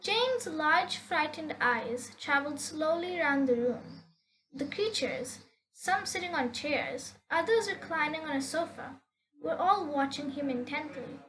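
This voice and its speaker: A woman reading a children's story aloud with expressive, deliberate, theatrical phrasing. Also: sits high, slight background noise, rough recording.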